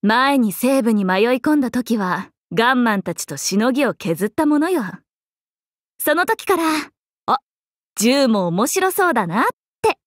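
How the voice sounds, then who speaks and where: A woman voicing a playful, cheerful young swordswoman in an anime mobile game, breezy, expressive and teasing like a big sister. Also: a little high, a little slow, no echo, studio quality. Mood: playful, eager. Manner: breezy, expressive, teasing, casual with sudden formal turns.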